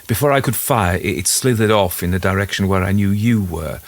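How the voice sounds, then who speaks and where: A man's voice: low voice, brisk. Man playing shaken, urgent English countryman. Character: shaken English countryman recounting a frightening encounter.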